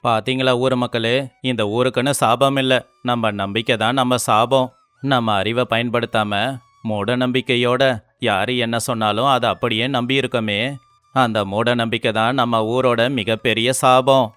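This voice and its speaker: A man's voice: medium pitch, level tone, normal speed, a bit bright, clean tone, no room sound, soft background music, close to an everyday voice. A man acting an indignant, accusing villager who exposes a fraud in a moral-story drama. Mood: earnest, preaching.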